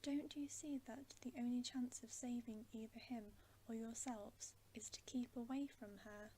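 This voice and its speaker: A woman's voice: on the high side, steady tone, measured pace, dark tone, slight background noise, natural voice. A woman voicing a shrewd, motherly female talking beaver, reasoning carefully in a whispered bedtime story reading. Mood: alarmed.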